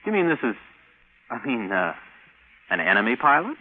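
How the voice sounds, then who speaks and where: Young rocket captain, tinny vintage radio. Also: male voice, medium pitch, sing-song, moderate pace. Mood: flustered. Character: eager, flustered young male rocket pilot captain. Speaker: narrator and lead actor. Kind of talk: 1950s radio drama acting.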